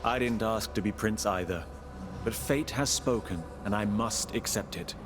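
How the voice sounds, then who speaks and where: A male actor playing a gracious, thoughtful young prince in a video game, with a courteous, measured formality. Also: on the low side, lightly acted. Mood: gracious, sincere. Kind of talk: dramatic video game acting. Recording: music behind the voice.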